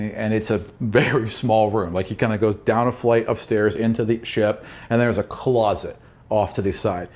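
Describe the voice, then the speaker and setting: A man's voice: on the low side, darkish tone. A man narrating a tabletop roleplaying game as dungeon master, in a casual, conversational style.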